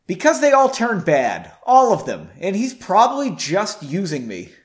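A man's voice: on the high side, wildly swooping pitch, measured pace, a bit bright, full character voice. A man voicing a guarded, prickly young woman in a storybook reading, terse and defensive. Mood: bitter.

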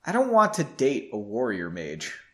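A man's voice: a little high, huge pitch swings, a little slow, fairly bright tone, full character voice. A man voicing a guarded, prickly young woman in a storybook reading, terse and defensive. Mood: curt, guarded.

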